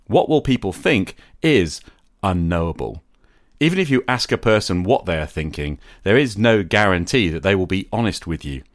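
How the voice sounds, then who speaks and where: Low-voiced guy doing a podcast monologue, explains stuff deliberately, really dry close sound. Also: animated, no echo. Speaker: podcast host. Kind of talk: scripted reflective monologue.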